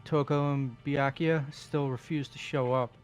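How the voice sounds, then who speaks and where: A man's voice: medium pitch, steady tone, measured pace, close to an everyday voice. A man playing a laid-back young man awkwardly taking charge in a fan-voiced visual novel, casual and slangy. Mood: casual, upbeat.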